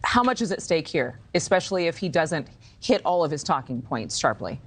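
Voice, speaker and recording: An American woman with a low-pitched voice works as a television news anchor. She sets out the background in broadcast interview style and puts questions to her guest. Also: sing-song, fairly quick.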